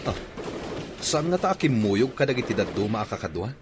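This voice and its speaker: A man's voice: fairly low pitch, sing-song, a little fast, noisy background. A man playing an obedient, alert soldier in a radio drama battle scene. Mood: uneasy.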